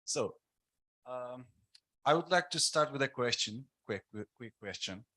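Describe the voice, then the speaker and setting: A man's voice: mid-range voice, a bit bright. A man panelist speaking hesitantly with many fillers, asking the audience questions. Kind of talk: panel talk.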